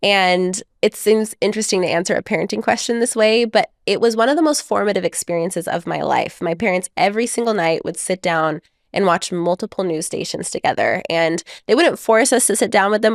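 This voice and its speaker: A married mother and podcast guest, a woman speaking in a reflective, measured way, telling stories with light laughs. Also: medium pitch, dry recording, clean studio sound. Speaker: guest author. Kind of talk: conversational podcast interview.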